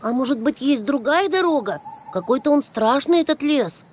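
High-pitched timid, bookish little boy. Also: expressive, normal speed, a little hiss or hum. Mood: nervous, reluctant. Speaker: cartoon character. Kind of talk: animated cartoon acting. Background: music.

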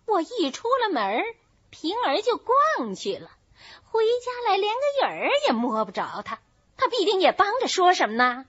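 A woman voicing a sharp-tongued, commanding young noblewoman in a storybook reading, talking fast and bossy. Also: very high voice, huge pitch swings, pitched above the performer's own voice, heavily acted. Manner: rapid, bossy. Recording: dull lo-fi recording.

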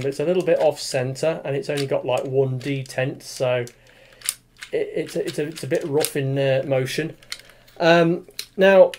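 Chatty toy reviewer in his forties, a touch high-pitched, meandering and full of fillers, recorded on a webcam at home. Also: darkish tone, slight background noise. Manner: rambling, many fillers and restarts. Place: small room with display cabinet, indoors.

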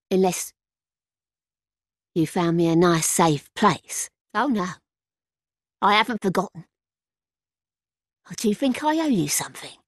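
A woman's voice: lowish voice, a bit bright, no echo, clean studio sound, exaggerated voice. An actress playing a bitter, suspicious, half-mad old townswoman in a gothic video game, with a British accent and a scornful, muttering manner. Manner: scornful, muttering and scolding by turns.

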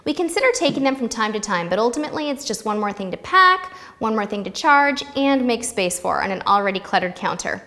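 A woman's voice: on the high side, animated, brisk, hardly any pauses, pushed and bright, in a large hall. An American woman in her thirties presenting scripted tips to camera in a light-hearted, playful way on a lapel mic. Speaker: host of a travel tips video series.

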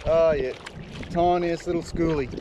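A young Australian man giving relaxed, mumbled running commentary to himself while fishing from a kayak at sea, recorded on a mounted action camera. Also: on the high side, background noise. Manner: relaxed, mutters to himself, laid-back slang. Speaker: fishing vlogger. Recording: music playing under the voice. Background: music over the talk.

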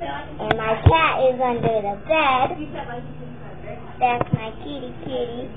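A woman's voice: fairly high pitch, slow, noisy background. Chatty young girl on tinny phone audio. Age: child. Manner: chatty, rambling, restarts sentences. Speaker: vlogger giving a house tour. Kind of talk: casual house tour. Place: family home, indoors. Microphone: handheld phone camera.

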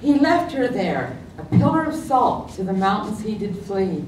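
An older woman reading a humorous poem at an open mic in a library room, with a playful lilt. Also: normal speed. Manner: lively, playful storytelling lilt. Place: library meeting room, at a podium. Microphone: stand mic, far camera. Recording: echoey room, distant mic, faint rumble.

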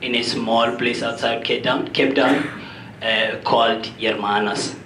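A man's voice: medium pitch, steady tone, measured pace, bright, forceful tone. A young man with a South African accent introducing himself to an audience in an echoey, slightly noisy room. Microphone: handheld microphone. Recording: echoey room with background noise.

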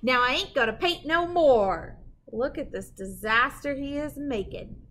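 A middle-aged woman reading a picture book aloud to children as a librarian, with animated, dramatic emphasis and a sing-song delivery. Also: high voice, a little slow. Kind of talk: expressive children's read-aloud. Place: indoor library with bookshelves.

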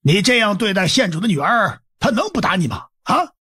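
A man playing an excitable, middle-aged county magistrate in an audiobook drama, blustering and exclaiming in a raspy voice. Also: high voice, brisk, exaggerated voice. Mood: angry, scolding. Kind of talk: Chinese audiobook drama acting.

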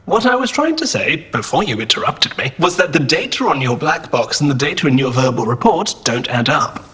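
A pedantic robot strategist from a science-fiction audio drama. The voice is sharp and slightly rough, with lively intonation. Every phrase comes out analytical and a touch sarcastic. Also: male voice, fairly high pitch, brisk, full character voice. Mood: defensive, pedantic.

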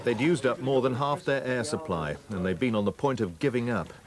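A man narrates a documentary from a script in a mid-pitched voice. Other voices and commotion are clearly heard behind him. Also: a little fast, crowd noise.

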